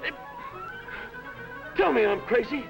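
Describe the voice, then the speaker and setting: High-pitched, blustering army sergeant. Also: male voice, big pitch swings, slow, creaky, lo-fi sound, exaggerated voice. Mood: frantic. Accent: American. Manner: slangy, blustering. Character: blustering, exasperated American army sergeant, greedy schemer. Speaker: film actor. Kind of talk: 1950s film comedy acting. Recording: old 1950s film soundtrack with music.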